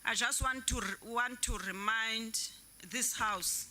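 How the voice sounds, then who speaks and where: A man presiding over a legislature, measured and halting as he cautions members from a desk microphone in the chamber. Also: very high-pitched, fairly even intonation, bright, forceful tone. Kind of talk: formal parliamentary chairing.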